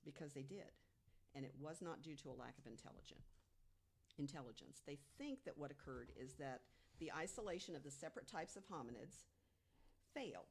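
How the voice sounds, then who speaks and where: Low-voiced woman explaining on a podcast. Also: expressive, brisk, slightly rough. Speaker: podcast guest panelist. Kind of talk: informal podcast storytelling from research notes.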